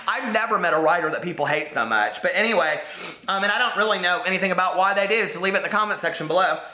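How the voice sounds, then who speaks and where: A man's voice: sits high, animated, quick pace, pushed and bright, roomy sound, poor audio. A man from Indiana with a slight Southern drawl, chatting casually to camera as a book vlogger, with sassy asides. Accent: Midwestern American with a slight Southern drawl. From Indiana, USA.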